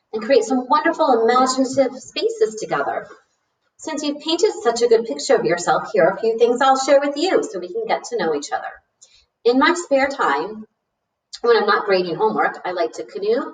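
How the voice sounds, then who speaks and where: Kind, measured junior high teacher read by a woman, encouraging storybook letter, roomy distant mic. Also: a little hiss or hum, lightly acted.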